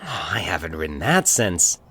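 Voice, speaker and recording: A man's voice: deep voice, big pitch swings, moderate pace, a bit bright, breathy edge, voice pushed down, exaggerated voice. A man voicing a grieving man who talks to himself, wistful but resolute, in an audio story. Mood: wistful, resigned. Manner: muttering, musing to himself.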